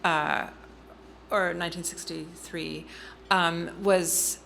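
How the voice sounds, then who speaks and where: A middle-aged woman telling a personal family story in an interview, earnest and halting, with frequent ums and restarts. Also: on the low side, steady tone, vocal fry, fairly bright tone, slight background noise. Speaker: guest speaker.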